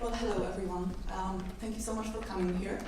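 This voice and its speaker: A young woman with a Polish accent giving a measured rally speech with wry, self-deprecating asides. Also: mid-range voice, fairly even intonation, recorded from a distance, a loud crowd, heavy background noise. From Poland. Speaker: guest speaker, doctoral student. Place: large indoor hall at a rally. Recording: echoey hall, mic from afar.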